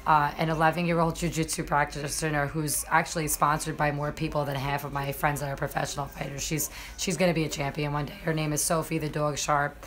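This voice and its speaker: A woman with a low, slightly hoarse voice hosts a relaxed live stream from her computer. She talks straight to her viewers in a loose, chatty way, with plenty of fillers and restarts. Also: brisk, hardly any pauses. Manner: chatty, many fillers, addresses viewers directly. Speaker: MMA journalist and live-stream host. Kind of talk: casual live-stream commentary.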